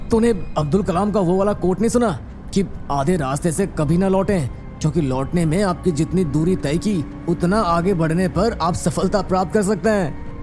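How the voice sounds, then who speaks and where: A man playing an excitable young male traveller in a cartoon horror story, speaking in a dramatic, exclamatory way. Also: sits high, lightly acted. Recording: music under the voice.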